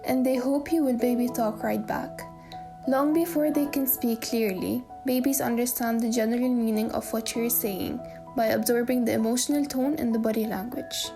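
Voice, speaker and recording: A woman reading a scripted voice-over narration in an even, read-out way. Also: fairly high pitch, normal speed, creaky, over music.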